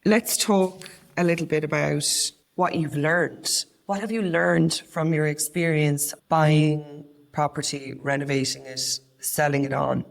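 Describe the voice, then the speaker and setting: Irish woman with a gravelly voice. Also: deep voice, fairly even intonation, unhurried. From Ireland. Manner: earnest, conversational, with frequent restarts. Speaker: podcast co-host. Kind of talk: casual podcast chat.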